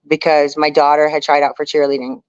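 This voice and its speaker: A woman's voice: sits low, fairly quick, clean tone, no room sound, lo-fi sound. A woman telling stories as an interview guest in a casual, chatty way, often adding "you know". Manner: chatty, animated retelling with frequent "you know". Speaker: reality TV survival contestant, interview guest. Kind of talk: casual conversational storytelling.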